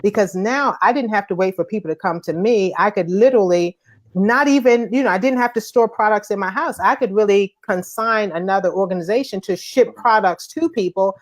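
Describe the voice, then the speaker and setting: Lively woman coach who laughs often. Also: mid-range voice, a little fast. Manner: lively, laughing, acting out voices of customers. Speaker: coach and host. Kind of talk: casual live-stream chat.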